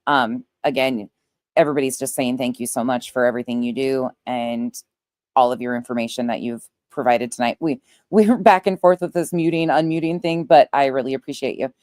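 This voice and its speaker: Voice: medium pitch, a little fast, a little mellow, no room sound. Dry-sounding livestream host, conversational. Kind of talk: casual livestream chat.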